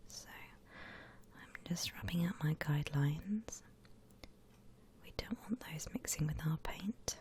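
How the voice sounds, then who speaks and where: A woman whispering breathily and gently as she hosts an unhurried ASMR art tutorial. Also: sits low. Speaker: host of an ASMR drawing channel. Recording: faint background noise.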